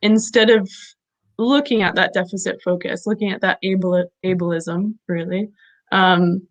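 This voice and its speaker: A woman interviews her guest in a flat, even voice that barely rises or falls. She feels her way through each question with frequent pauses, fillers and restarts. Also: medium pitch, highs cut off. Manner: halting, many fillers and restarts. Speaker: interview host. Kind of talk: conversational interview.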